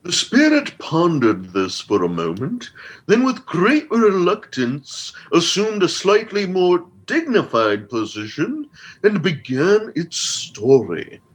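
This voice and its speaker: A man with a husky voice narrating a scripted comedy radio play. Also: high-pitched, sing-song, slow.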